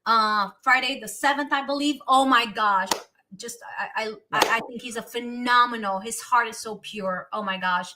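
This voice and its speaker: Bright-voiced Romanian-accented woman host. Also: on the high side, normal speed, a little hiss or hum. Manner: excitable, gushing, restarts often. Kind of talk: casual livestream chat.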